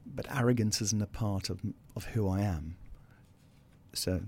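An older South African man with a low, gravelly voice, talking as an interview guest. He speaks with conviction but often stalls, repeats words and starts over mid-sentence. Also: a little slow, darkish tone. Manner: hesitant, restarts and repeats words, confident and earnest. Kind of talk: casual interview chat.

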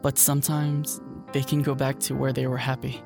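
A man acts out a plain-spoken young countryman in an audio drama, hurt but stoic. His understated voice sits at medium pitch with a lot of creak, and music is clearly heard underneath. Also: monotone, moderate pace, close to an everyday voice. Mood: wistful.

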